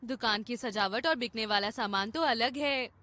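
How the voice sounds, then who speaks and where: A woman's voice: on the high side, moderate pace, bright, forceful tone, slight background noise. A woman voicing a naive, timid, well-meaning young boy in a cartoon dub, innocent and hesitant. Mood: puzzled.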